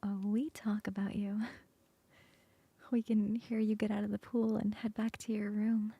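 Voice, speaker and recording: A woman's voice: mid-range voice, little pitch movement, unhurried, husky, dry recording. A woman playing a teasing whispering coworker. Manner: soft whispering, playful teasing. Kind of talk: ASMR roleplay.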